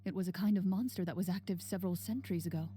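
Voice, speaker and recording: Actress as a very dark-toned, composed woman duelist. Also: lowish voice, steady tone, soft background music, close to an everyday voice. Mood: calm, explanatory. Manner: measured, formal, probing. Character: composed, stern female champion duelist and investigator. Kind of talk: scripted game dialogue acting.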